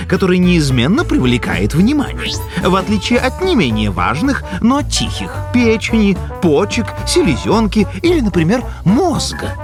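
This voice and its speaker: A man voicing a pedantic, sardonic know-it-all scientist creature in a cartoon, lecturing pompously as he explains science. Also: high voice. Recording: music behind the voice.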